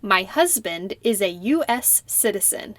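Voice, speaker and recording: A young woman playing a polite citizenship applicant who answers slowly and carefully in a scripted practice interview. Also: mid-range voice, lively intonation, no echo, lightly acted. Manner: slow, careful, deliberate answers. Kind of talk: scripted role-play interview.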